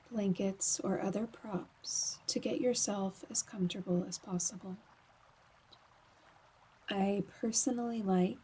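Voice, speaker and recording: A woman guiding a slow meditation in a soft, breathy voice, often near a whisper, calm and soothing, with long pauses. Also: medium pitch. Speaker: yoga nidra guide.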